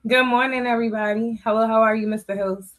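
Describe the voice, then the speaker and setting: A woman's voice: on the high side, steady tone, fast, a bit bright, poor audio. A middle-aged woman from Boston speaking as a guest in a candid interview, plainspoken and restating her points. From Boston, USA. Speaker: talk show guest. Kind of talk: candid personal interview talk.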